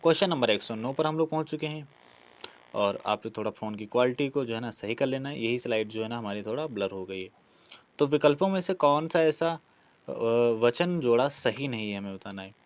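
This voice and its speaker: A male teacher with a medium-pitched voice leads an exam-prep lesson. He reads each question aloud, then explains the answer in an instructive way. He often repeats key words as he goes. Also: expressive, fairly quick. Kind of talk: online lesson, working through quiz questions.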